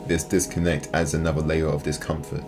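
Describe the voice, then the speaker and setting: A man's voice: a bass voice, flat delivery, brisk. A man reading scripted, analytical narration as the host of a video essay. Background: music.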